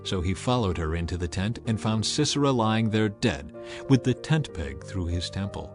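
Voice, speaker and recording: A man reading scripted scripture narration in a measured, solemn storytelling manner. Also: on the low side, animated. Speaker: narrator of a dramatized audio Bible. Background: music under the voice.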